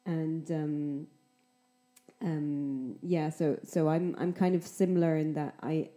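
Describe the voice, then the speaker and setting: Scottish woman host, faint accent, talks clearly, super mellow deep voice. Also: fairly even intonation, slow. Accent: mild Scottish, softened by years in England. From Scotland. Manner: encouraging, speaks clearly. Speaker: podcast host and English teacher. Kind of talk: casual interview chat.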